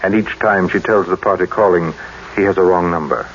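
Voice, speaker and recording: A man's voice: low voice, steady tone, brisk, lightly acted. An actor playing a cold, suspicious middle-aged businessman in an old-time radio drama, with a brusque, commanding manner. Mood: probing, controlled. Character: cold, imperious, suspicious businessman husband, decisive. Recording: muffled, noisy old radio recording.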